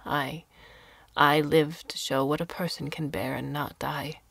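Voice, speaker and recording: A woman's voice: sits low, little pitch movement, unhurried, creaky, slightly rough, no room sound, exaggerated voice. A woman voicing a grieving old lacemaker. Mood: grim. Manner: hushed, sorrowful whispering.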